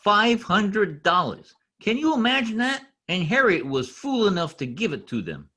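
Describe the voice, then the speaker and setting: A man's voice: sits high, big pitch swings, moderate pace, sharp tone, heavily acted. A man playing a querulous, suspicious, fussy husband in an old-time radio drama. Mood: scornful. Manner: querulous, incredulous.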